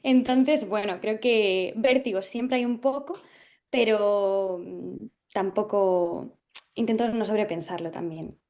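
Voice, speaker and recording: A young woman from Galicia, an actress, talking through an interview thoughtfully, with frequent pauses and fillers as she searches for words. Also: medium pitch, mellow. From Galicia, Spain. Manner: thoughtful, hesitant, many fillers and restarts. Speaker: actress guest. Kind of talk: casual interview chat.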